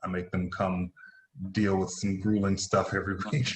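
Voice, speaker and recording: A man with a low voice talks casually, as a playwright and director would about his work. He slips into quoting himself as he goes. The room around him adds a clear echo. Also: level tone, normal speed. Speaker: playwright, director and acting teacher. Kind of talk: casual conversational account of creative work. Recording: roomy, echoey sound.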